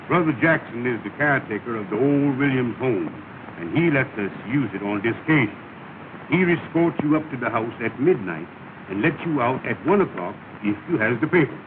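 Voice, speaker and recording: A man's voice: medium pitch, expressive, lightly acted. Man as a pompous lodge boss, solemn and grand, tinny hissy old film sound. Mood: commanding. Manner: grand, slow and ceremonious. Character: pompous male lodge leader, solemn, bossy. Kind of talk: 1930s film comedy acting.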